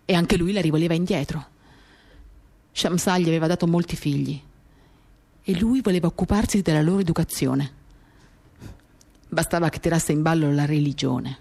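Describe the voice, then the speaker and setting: A woman giving a measured, expressive dramatic reading of a first-person novel, pausing as she tells it. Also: sits low, gravelly. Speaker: reader of a literary work. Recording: acoustic guitar music behind the voice. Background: acoustic guitar accompaniment.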